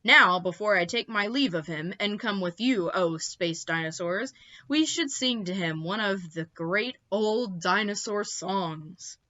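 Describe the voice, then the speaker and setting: A woman's voice: mid-range voice, measured pace, sharp tone, no room sound, lo-fi sound. A woman voicing a wise, gracious female dinosaur professor in a formal, declamatory storybook manner. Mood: grand, declaiming.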